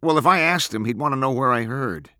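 A man's voice: medium pitch, lively intonation, fairly quick, bright, forceful tone, no room sound, studio quality, voice pushed up. A man voicing a bitter, stubborn teenage boy in an audiobook, petulant and aggrieved. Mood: sulky. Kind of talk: audiobook character acting.

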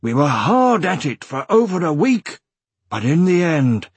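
A gruff dwarf clan chief for a fantasy audiobook. The voice is raspy and pushed up from a normal register, with big swings in pitch. He speaks earnestly, in formal, old-fashioned phrases, close and dry. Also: big pitch swings, measured pace, no echo, full character voice. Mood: hearty, frank. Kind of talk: audiobook character voice.

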